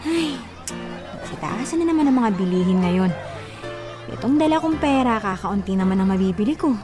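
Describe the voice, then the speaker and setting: A woman plays a long-suffering radio drama wife of middling pitch. She sounds meek and placating, and music sits clearly behind her. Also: expressive, moderate pace, close to an everyday voice. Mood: weary. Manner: plaintive, placating. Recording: loud music bed behind.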